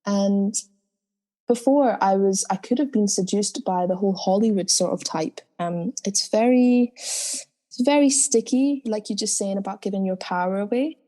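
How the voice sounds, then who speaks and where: A woman singer speaking in an interview in a deep, soulful voice, earnest and spiritual in tone. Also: normal speed, warm tone. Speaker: guest singer. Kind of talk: reflective interview talk.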